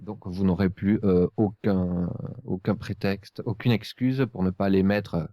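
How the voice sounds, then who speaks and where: A man hosting a casual podcast, speaking in a hesitant, halting way. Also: deep voice, fairly even intonation, mellow, no room sound, studio quality. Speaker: podcast host.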